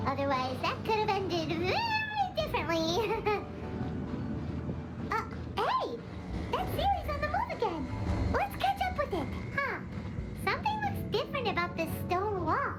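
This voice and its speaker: A tiny floating sidekick creature chirps in a very high, childlike voice that leaps up and down with every exclamation. She is bubbly and excitable, and she talks about herself by name. Loud game music plays behind her. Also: moderate pace, low-quality recording. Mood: excited. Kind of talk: video game dialogue.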